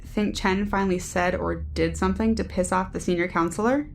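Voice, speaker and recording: An actress playing a cool, cynical security team member in a science fiction audio drama, terse, wry and matter-of-fact. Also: female voice, lowish voice, steady tone, fairly quick, vocal fry, a little hiss or hum. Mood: inquisitive.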